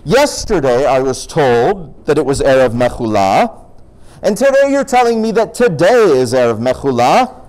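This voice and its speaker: A man's voice: fairly high pitch, big pitch swings, moderate pace, darkish tone, rough recording. A man voicing a frustrated, suspicious traveling salesman in a folk tale, challenging and exasperated.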